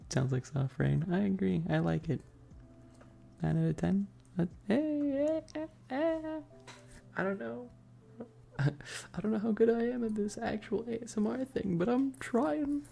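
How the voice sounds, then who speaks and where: A woman's voice: medium pitch, unhurried, vocal fry, mellow, faint music behind. A woman chatting casually and playfully with viewers on an ASMR livestream, teasing and repeating her questions, close to the microphone.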